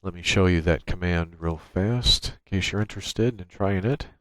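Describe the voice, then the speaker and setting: A man with a deep voice presents an informal software walkthrough. He explains each step as he goes, speaking into a USB microphone. The sound is dry, with little room in it. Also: moderate pace, dry recording. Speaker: tutorial presenter. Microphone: USB microphone through a noise gate.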